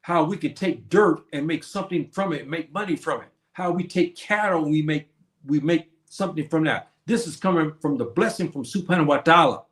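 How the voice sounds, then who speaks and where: A man's voice: fairly high pitch, fairly quick, fairly bright tone, echoey room. A man hosting a stream, lecturing on history in a preachy way and posing questions that he answers himself. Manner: preachy, asks and answers his own questions. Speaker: host. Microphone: streaming setup.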